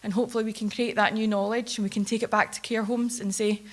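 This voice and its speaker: A woman researcher giving a plain-spoken conference presentation, steady but with filler ums. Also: fairly high pitch, fast. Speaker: care home researcher. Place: conference hall.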